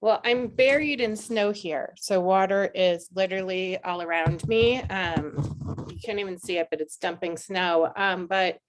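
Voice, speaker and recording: A woman's voice: mid-range voice, unhurried. Casual woman chatting in a call. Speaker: workshop participant. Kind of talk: casual sharing in a group call.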